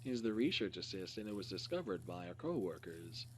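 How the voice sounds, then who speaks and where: A man reads a scripted case file as narration, in a halting, word-by-word way. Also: low-pitched, sing-song. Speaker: reader. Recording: clearly noisy recording with hum.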